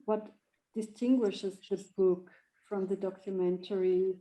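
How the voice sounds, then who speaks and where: A woman moderates an online panel discussion and puts her questions together slowly as she goes. Her tone is mellow and soft, and her pitch is middling. The sound has a roomy echo. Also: level tone.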